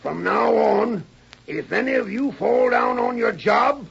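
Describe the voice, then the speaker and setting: A menacing American outlaw chief from a radio western. The voice sits high for a man and is heavily gravelled, snapping out threats and orders in rough cowboy talk. The old recording is dull and narrow. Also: expressive, measured pace, a bit bright, full character voice. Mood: angry, threatening. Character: domineering, angry outlaw gang boss of the Old West.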